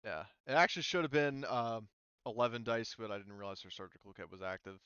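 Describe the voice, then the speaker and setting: A man narrating a tabletop game as game master, speaking casually and haltingly with the odd swear word. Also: on the low side, fairly bright tone, smooth, no echo, lo-fi sound. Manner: halting, casual, mildly profane.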